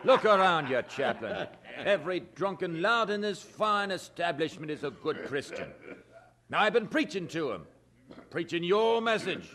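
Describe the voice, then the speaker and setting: A cynical tavern-going minister in an audio drama. His fairly high voice swoops about freely. He is glib and mocking, forever justifying himself. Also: very animated, normal speed, bright, forceful tone, heavily acted. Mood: smug, jovial.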